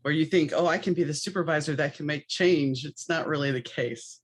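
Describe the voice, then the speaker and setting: A woman's voice: deep voice, a little fast, breathy edge. Chatty woman hosting a podcast. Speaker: podcast host. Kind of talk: conversational podcast interview.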